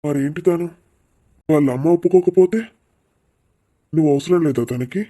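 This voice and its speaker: Man playing a mellow-voiced exasperated cartoon dad. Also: a little high, moderate pace, lightly acted. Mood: reassuring, advising. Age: middle-aged. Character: exasperated middle-aged father in a village family cartoon. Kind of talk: animated cartoon dialogue.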